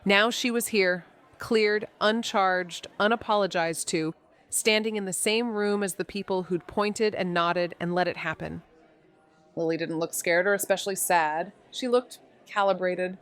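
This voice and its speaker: A woman's voice: medium pitch, steady tone, unhurried, clean tone, close to an everyday voice. An actress playing an observant, sardonic young woman sleuth, narrating a noir audio drama with clipped, dry wit. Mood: sardonic, measured. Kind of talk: scripted noir narration and dialogue.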